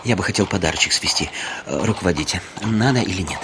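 A man's voice: low-pitched, animated, quick pace, noisy background. An actor playing a fawning, buffoonish middle-aged man in a radio drama, ingratiating, hesitant and given to giggling. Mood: fawning, sly. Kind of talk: theatrical Russian radio drama.

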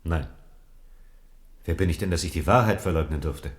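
A steadfast Protestant envoy at court, played by a man, with a low voice. He speaks in formal, declamatory radio drama style, devout and deeply earnest. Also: moderate pace, close to an everyday voice. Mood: earnest, grave. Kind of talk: German radio drama acting.

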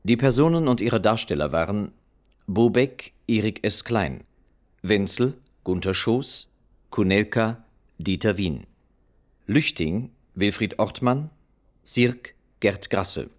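A male radio announcer reads scripted credits. His voice is fairly low, and he takes his time, keeping a formal, measured delivery.

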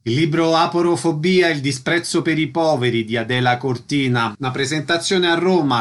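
A male radio host speaks at a medium pitch in a studio. He puts questions to guests and reads out announcements in a presenter's style.

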